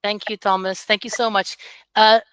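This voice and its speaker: A woman in her forties who learned English as a second language speaks with a bright, crisp and smooth tone. She talks in an encouraging, chatty way with plenty of ums and uhs. The sound is dry and close, as on a video call. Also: mid-range voice, little pitch movement, normal speed, dry recording. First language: not English. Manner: warm, encouraging, chatty with many fillers. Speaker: academic coordinator. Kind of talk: informal webinar presentation.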